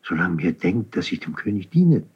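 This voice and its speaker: An actor playing a deferential, insinuating count at court in a classical verse radio drama, formal and measured, on a dull recording. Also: male voice, low-pitched, big pitch swings, slightly rough, exaggerated voice. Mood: pointed, deferential.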